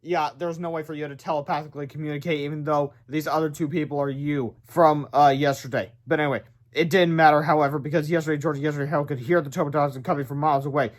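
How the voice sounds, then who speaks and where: A man with a fairly high voice narrates a children's book. He tells it dramatically, stumbles on a word here and there, and drops in off-the-cuff asides. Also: steady tone, fast. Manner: dramatic storytelling, stumbles over words. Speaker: read-aloud narrator. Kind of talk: animated children's book read-aloud with asides.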